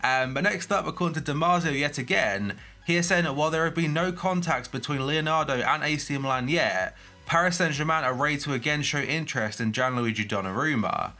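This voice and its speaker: A man's voice: a little high, fairly quick, pushed and bright, soft background music. A young man with a groggy, hay-fever voice, reading a football transfer news roundup with brief personal asides.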